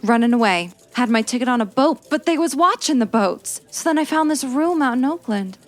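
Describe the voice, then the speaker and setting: Woman playing an anxious, high-voiced gangster's moll. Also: lively intonation, a little fast, soft background music, natural voice. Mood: pleading, confessing. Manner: pleading, streetwise slangy talk. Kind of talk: 1940s radio noir acting.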